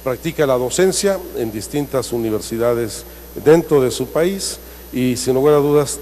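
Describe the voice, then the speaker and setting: A man's voice: medium pitch, dark tone. A man introducing a guest speaker at a formal seminar, hesitating and correcting himself often. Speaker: seminar moderator. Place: large hall in the Senate. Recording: distant echoey hall with faint noise.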